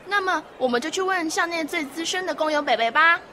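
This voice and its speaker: A woman's voice: very high voice, a little fast, sharp tone, slight background noise. A woman acting a timid, whiny, easily frightened schoolgirl in a student radio drama, with a Taiwanese accent. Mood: polite. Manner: whiny, pleading.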